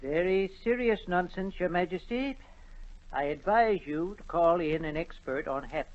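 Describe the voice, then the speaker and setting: An actor playing a fussy, self-important royal official, officious and deferential, in 1940s radio drama style. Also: male voice, sits high, animated, moderate pace. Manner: officious, hesitant when counting, deferential. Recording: dull 1940 radio recording.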